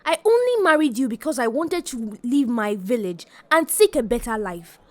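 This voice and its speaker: A woman's voice: fairly high pitch, big pitch swings, normal speed. A woman voicing a cold, curt young wife.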